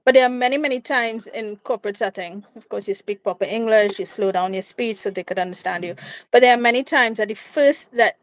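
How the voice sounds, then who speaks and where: A woman's voice: a little high, a little fast, no echo, poor audio. Female livestream co-host, Trinidadian accent, chatty and playful, recorded dry at home. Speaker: co-host of a live watchalong. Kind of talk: casual live chat. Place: indoors in a room at home.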